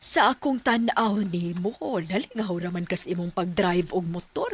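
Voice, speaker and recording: A gentle, husky mother. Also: fairly low pitch, moderate pace. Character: caring working-class mother. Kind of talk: radio drama acting.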